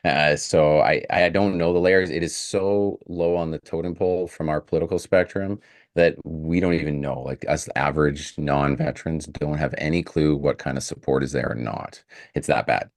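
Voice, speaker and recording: A man's voice: very deep voice, steady tone, moderate pace. A man from Canada hosting a podcast, upbeat and effusive in casual interview chat. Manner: upbeat, effusive, laughs easily. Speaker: podcast host.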